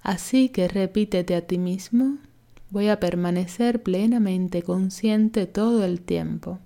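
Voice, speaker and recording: A woman leading a guided relaxation meditation as a yoga instructor, calm and soothing, giving gentle instructions. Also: medium pitch, takes it slowly, creaky.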